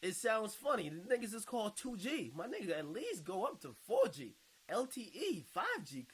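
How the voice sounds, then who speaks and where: A man's voice: high-pitched, huge pitch swings, moderate pace, fairly bright tone, breathy edge. A man giving casual reaction commentary in a blunt, mocking, slangy way, as if talking straight to his viewers.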